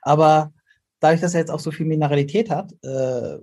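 A man with a husky, slightly high voice joins a casual chat as a curious questioner. His tone is mellow and his delivery a little hesitant. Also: fairly quick. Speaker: curious participant asking questions.

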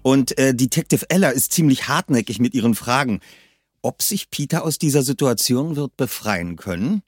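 A man hosting a podcast in an upbeat, chatty manner, his voice bouncing lively up and down. The sound is close and dry. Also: medium pitch, normal speed, breathy edge, dry recording. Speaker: podcast host. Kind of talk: casual presenting.

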